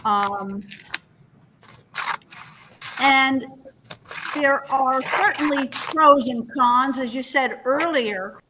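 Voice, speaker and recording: A woman's voice: sits high, extremely slow, pauses often, background noise. A woman hosting a webinar in a conversational presenting style. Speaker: webinar host.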